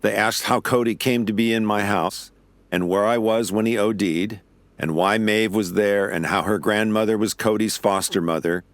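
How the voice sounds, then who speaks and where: A male actor as a weary, wry investigator tells his story in a low voice. The delivery is first-person audio drama narration, recorded very dry. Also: fairly even intonation, normal speed, no room sound, lightly acted. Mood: wry, matter-of-fact.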